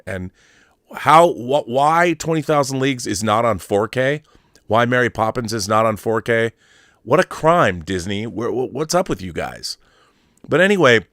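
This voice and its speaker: A man's voice: medium pitch, a bit bright, no room sound, clean studio sound. An American man in his fifties talking casually and with opinions as a show host, emphatic and nostalgic, repeating phrases for stress. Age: 50s. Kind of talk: opinionated casual monologue on pop culture.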